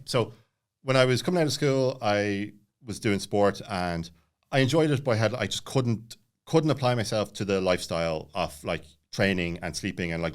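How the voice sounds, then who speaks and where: A man in his late thirties with a lowish voice. He talks rapidly and casually, like a podcast guest telling his own story, often looping back and restarting his sentences. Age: late 30s.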